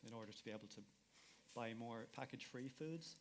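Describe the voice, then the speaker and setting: A man's voice: medium pitch, fairly quick, a little hiss or hum. A man speaking as a panelist at a live event, talking casually and hedging with "kind of". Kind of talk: panel discussion. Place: event hall with audience.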